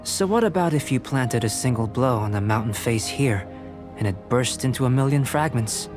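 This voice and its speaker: A man voices a young scholar-alchemist from a video game, composed and courteous. His mid-pitched voice is articulate and analytical, over light background music. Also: expressive, normal speed, close to an everyday voice. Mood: inquisitive.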